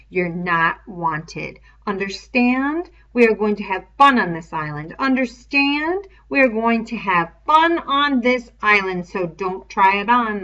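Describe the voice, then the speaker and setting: A woman voicing a menacing, fly-covered pig's head that taunts a boy slowly and mockingly like a stern schoolmaster, on a dull lo-fi recording. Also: medium pitch, a bit bright.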